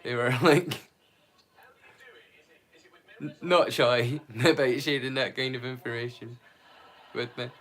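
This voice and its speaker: A man's voice: mid-range voice, fairly even intonation, slow, long pauses, a bit bright. A man hosting a live stream in a casual, rambling, chatty way.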